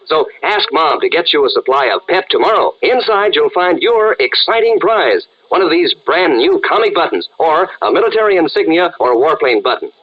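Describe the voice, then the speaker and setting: A man giving a folksy 1940s radio advertisement aimed at children. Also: a little high. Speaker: advertising pitchman. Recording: muffled vintage radio recording.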